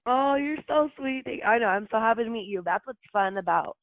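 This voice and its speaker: A woman's voice: a little high, lively intonation, brisk, no echo, narrow, tinny audio. A woman from Utah chatting casually as an interview guest, laughing often and saying "like" a lot. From Utah, USA.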